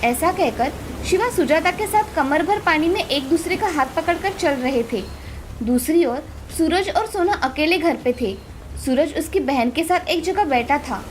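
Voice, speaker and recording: A woman's voice: sits high, lively intonation, a little slow. A woman narrating a scripted moral story as a storyteller. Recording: clearly noisy, with ambience behind.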